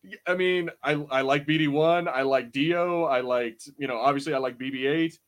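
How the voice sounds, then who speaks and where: A man in his twenties co-hosting a podcast, chatting casually in a rambling, animated, sweary way. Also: on the high side, normal speed. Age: 20s. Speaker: podcast host. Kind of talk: casual panel chat reviewing a show.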